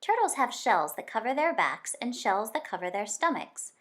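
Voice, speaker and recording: Woman reading aloud with lively, swinging intonation. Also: a little high. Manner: expressive, deliberate storytelling with drawn-out words. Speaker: teacher reading books aloud to children. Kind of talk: read-aloud of a children's nonfiction picture book.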